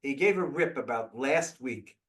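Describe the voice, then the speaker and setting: A man's voice: medium pitch, expressive, measured pace, a bit bright, far from the mic. A man hosting a video call briefing, answering questions bluntly and thinking aloud with restarts.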